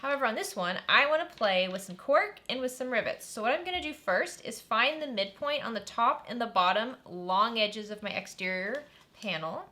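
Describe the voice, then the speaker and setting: Upbeat woman narrating a sewing how-to, crisp bright voice, chatty and easygoing. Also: a little high, expressive, moderate pace, few pauses. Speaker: sewing tutorial host. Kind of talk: casual step-by-step craft tutorial.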